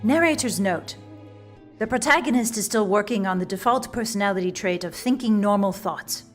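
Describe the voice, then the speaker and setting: A woman's voice: mid-range voice, normal speed, soft background music. A woman narrating a comic visual novel in a wry, arch, mock-grand storyteller's manner.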